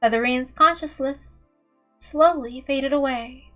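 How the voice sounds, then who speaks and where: Woman, high-pitched voice, amateur story reader, dramatic narration, faint background music. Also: slow, fairly bright tone.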